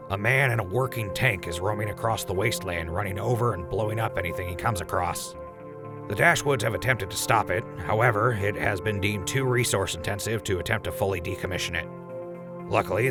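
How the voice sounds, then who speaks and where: A man playing a post-apocalyptic radio announcer, reading a scripted public service warning in a measured, emphatic way. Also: lowish voice, natural voice. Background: prominent music.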